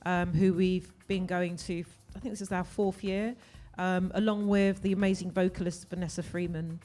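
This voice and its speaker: A woman's voice: lowish voice, flat delivery, normal speed, warm tone. A middle-aged woman chatting casually and jokily as a guest DJ in a radio interview. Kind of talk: casual chat. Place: radio studio.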